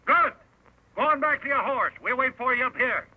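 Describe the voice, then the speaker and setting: An actor playing a ruthless, commanding outlaw chief who barks menacing orders in an old radio western. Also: male voice, very high voice, very animated, normal speed, gravelly, pushed and bright, exaggerated voice, rough recording. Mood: barking orders. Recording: hissy old radio broadcast recording.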